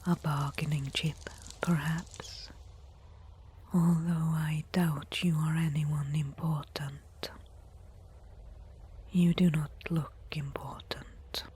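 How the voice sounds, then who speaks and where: A woman voicing a proud, disdainful desert tribeswoman who nurses reluctantly, in a breathy whispered ASMR roleplay with formal phrasing. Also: low voice, level tone, mellow, full character voice. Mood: grudging, disdainful. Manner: slow, measured, formal phrasing.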